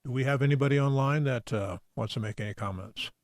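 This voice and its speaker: A man's voice: medium pitch, quick pace, no echo. A man chairing a planning commission meeting, asking brief, procedural questions.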